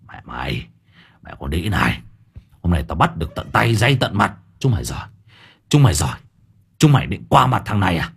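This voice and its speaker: A man voicing a furious, hot-tempered young husband who curses and shouts. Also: sits low, big pitch swings, fairly quick, creaky, long pauses, breathy edge, pitched below the performer's own voice, full character voice. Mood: menacing. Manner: cursing, shouting.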